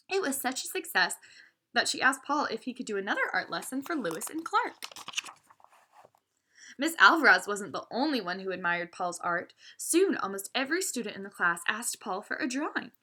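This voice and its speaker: A woman reading a children's story aloud expressively, now and then stumbling and correcting herself. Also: on the high side. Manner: animated, with stumbles and self-corrections.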